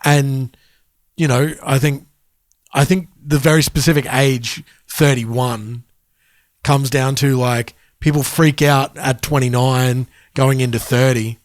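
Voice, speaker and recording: A man's voice: mid-range voice, fairly even intonation, dry recording, studio quality. Man in his forties chatting on a podcast. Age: 40s. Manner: agreeable, chatty, quick affirmations, laughs easily. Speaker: podcast co-host. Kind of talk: casual chat.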